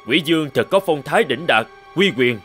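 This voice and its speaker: A man's voice: a little high, lively intonation, brisk, sharp tone, soft background music. A man voicing a brave, earnest young god, a resolute and respectful cartoon hero. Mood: placating.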